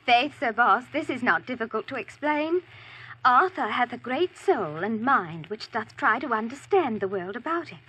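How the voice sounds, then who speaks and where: Very husky, earnest medieval maiden. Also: female voice, fairly high pitch, animated, moderate pace, bright, forceful tone, heavily acted, rough recording. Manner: earnest, ornate archaic phrasing. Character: devoted, plucky young medieval maiden. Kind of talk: 1940s radio acting, archaic speech. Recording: vintage radio drama recording.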